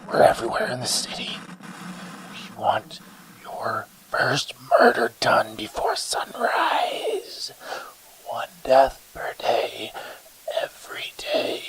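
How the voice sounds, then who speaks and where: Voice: medium pitch, gravelly, heavily acted, rough recording. An actor voicing a gloating, sadistic demonic entity in a hissing, menacing whisper for a horror audio drama. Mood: sinister, commanding. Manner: menacing, drawn-out, mocking.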